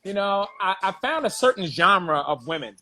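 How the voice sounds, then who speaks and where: A man hosting a comedy talk show, talking casually and excitably, swearing and laughing a lot. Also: high voice, moderate pace. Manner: profane, excitable, laughs often.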